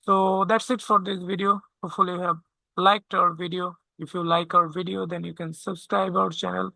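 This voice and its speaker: A tutorial instructor walking through software step by step, often checking in with a short questioning tag. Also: medium pitch, flat delivery, moderate pace, gravelly, no room sound, low-quality recording. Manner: checks understanding with frequent "okay?".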